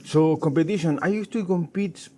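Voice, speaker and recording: A middle-aged man with an Argentine accent, a native Spanish speaker, chatting casually and haltingly as a tango dancer being interviewed. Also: fairly high pitch, mellow. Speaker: professional tango dancer and teacher, interview guest. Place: outdoors in a garden. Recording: noisy outdoor ambience.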